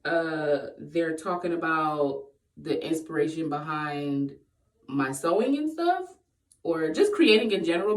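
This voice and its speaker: A woman in her late twenties talks casually to her viewers, playful and laughing easily. Her voice sits a little low. She is recorded from a distance in an echoey room. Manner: playful, laughs often, breaks into sung bits. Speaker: vlogger. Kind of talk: casual Q&A vlog. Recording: echoey room, voice far from the mic.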